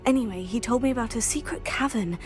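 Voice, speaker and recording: A woman's voice: on the high side, fast, soft background music, natural voice. A woman playing an eager young witch student. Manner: eager, chatty storytelling. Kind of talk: video game cutscene acting.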